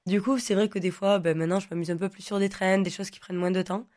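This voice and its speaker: A young woman whose first language is Occitan, talking at an everyday pitch. She answers in a loose, rambling way, full of fillers and false starts. She sounds like a guest chatting in an interview. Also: fairly even intonation, fast, darkish tone. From Languedoc, southern France. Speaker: guest, online content creator.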